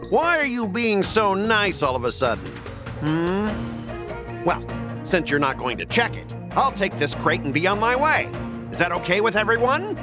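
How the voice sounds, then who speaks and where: A man's voice: high-pitched, sing-song, unhurried, music in the background. A man voicing a stubborn, pushy corporate cargo specialist in a video game, haughty and indignant. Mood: smug, suspicious. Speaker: voice actor in a game. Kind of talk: animated game cutscene dialogue.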